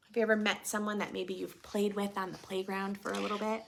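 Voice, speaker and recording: A woman's voice: mid-range voice, flat delivery, fast talker, gravelly, slight background noise. A woman reading a storybook aloud to young children, expressively, with chatty, questioning asides to her listeners. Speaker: children's story reader and host.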